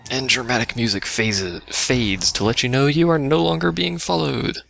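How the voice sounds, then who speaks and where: A man's voice: mid-range voice, normal speed, vocal fry, fairly bright tone, faint music behind. A man giving casual, dry and sarcastic commentary on a video game he is playing. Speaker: let's play commentator.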